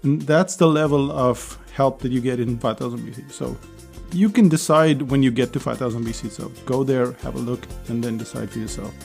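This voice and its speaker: A man hosting a casual solo podcast, chatty and persuasive, with his voice hoarse and going. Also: medium pitch, a little fast, hardly any pauses, darkish tone, soft background music. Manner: chatty, persuasive, with small laughs. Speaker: podcast host.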